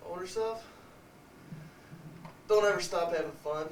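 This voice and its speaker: Young man, higher voice, earnest, hesitant, far from the mic. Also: unhurried, a little hiss or hum. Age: young adult. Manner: sincere, hesitant, repeats words. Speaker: college football player. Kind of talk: reflective interview answers. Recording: echoey room, off-mic.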